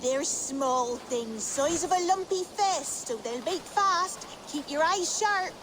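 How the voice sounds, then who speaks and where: An actress playing a homely village housewife who gives plain, familiar instructions in a game. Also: female voice, sits high, lively intonation, takes it slowly, noisy background. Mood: homely, instructing. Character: homely, bossy village housewife giving kitchen chores. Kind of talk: game cutscene acting.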